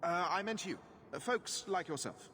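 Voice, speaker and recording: A man's voice: high voice, lively intonation, moderate pace, bright, forceful tone. An actor playing a self-serving merchant in a video game, unctuous and defensive in his talk. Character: slick, self-serving, apologetic male merchant. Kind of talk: video game dialogue acting.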